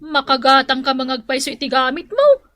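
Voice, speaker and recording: Bright, high-voiced Ilocano scold. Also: female voice, big pitch swings, brisk. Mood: exasperated, scolding. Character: scolding, no-nonsense female co-worker who lends money. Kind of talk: Ilocano radio drama acting.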